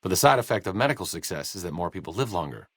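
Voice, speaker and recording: A man's voice: low-pitched, big pitch swings, racing, a bit bright, slightly rough, no room sound, heavily acted. A man playing a wry, bookish, self-deprecating doctor and researcher in a video game, with dry, erudite understatement. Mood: dryly musing. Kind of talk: video game character dialogue.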